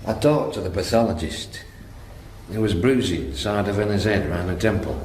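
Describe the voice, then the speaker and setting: A man's voice: low voice, moderate pace, a little mellow, close to an everyday voice. An actor playing a blunt, matter-of-fact policeman in a radio drama, speaking in clipped, informal phrases. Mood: grimly matter-of-fact. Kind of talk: modern radio drama acting. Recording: roomy echo, faint background noise.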